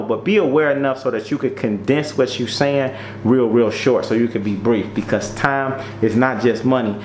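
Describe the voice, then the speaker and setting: Man giving tips in an echoey room. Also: medium pitch. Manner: emphatic, repeats key points, direct address. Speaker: presenter giving business tips. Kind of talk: informal how-to talk, numbered tips. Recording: roomy echo, faint noise and hum.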